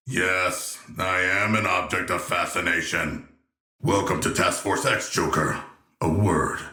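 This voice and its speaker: Very deep, forceful, erudite shark villain. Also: male voice, reverberant, full character voice. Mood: solemn, proud. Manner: formal, eloquent, measured, deadpan diction. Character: hulking, erudite, gentle yet proud humanoid shark villain. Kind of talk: video game character voice lines.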